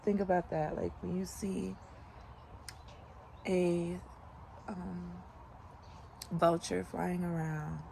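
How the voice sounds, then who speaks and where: A woman in her late twenties talks slowly and easily in a casual vlog, her voice gravelly with fry. She rambles reflectively with lots of fillers, recorded outdoors on a sunny morning with clear outdoor ambience behind her. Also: on the low side, slight background noise, rough recording. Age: late 20s. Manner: relaxed, rambling, many "like" fillers. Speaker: vlogger.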